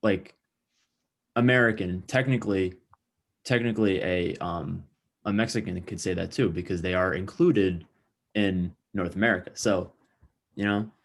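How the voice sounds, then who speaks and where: Very deep-voiced young man musing aloud. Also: moderate pace, long pauses. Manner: thinks aloud with many likes and restarts. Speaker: podcast co-host. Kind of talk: casual discussion.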